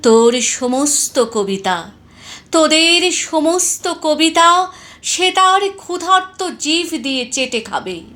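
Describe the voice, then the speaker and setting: Very slow, high-voiced woman reciting poetry. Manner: deliberate, expressive, with dramatic pauses. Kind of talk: dramatic Bengali poetry recitation.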